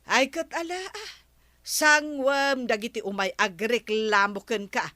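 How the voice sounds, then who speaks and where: A chatty, nagging village gossip from a radio drama. Her voice is fairly high and very bright, telling tales in a dry, close recording. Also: measured pace, no room sound.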